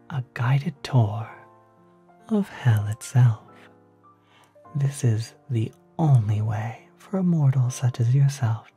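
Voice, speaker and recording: Someone acting a breathy, very mellow courteous demon concierge. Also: medium pitch, heavily acted. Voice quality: soft, breathy, often near a whisper. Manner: polite, unhurried, gently salesmanlike. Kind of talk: ASMR roleplay. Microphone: close microphone.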